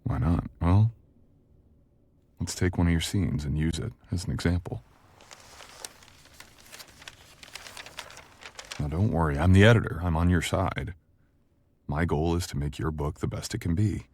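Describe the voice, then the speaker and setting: A man playing a sharp, confident, flirtatious book editor in an intimate, conversational audio roleplay, speaking in a measured, teasing manner. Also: deep voice, slightly rough, no room sound. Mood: reassuring, earnest.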